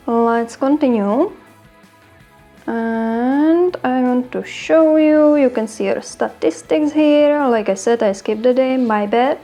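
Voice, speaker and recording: Czech woman host, high voice, chatty and self-deprecating, faint music underneath. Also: dark tone. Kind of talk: casual app walkthrough.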